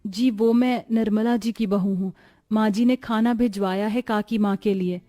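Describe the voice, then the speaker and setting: A woman's voice: medium pitch, fairly even intonation, normal speed, dry recording, clean studio sound, close to an everyday voice. A woman voicing a humble, dutiful poor young daughter-in-law in a cartoon moral story, polite, earnest and patient. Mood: kind, polite. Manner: polite, earnest, soft-hearted.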